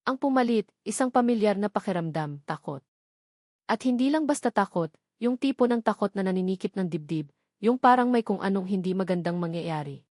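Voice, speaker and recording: A woman's voice: mid-range voice, darkish tone, dry recording. Woman narrating drama, close dry sound. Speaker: storyteller. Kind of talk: dramatic scripted story narration.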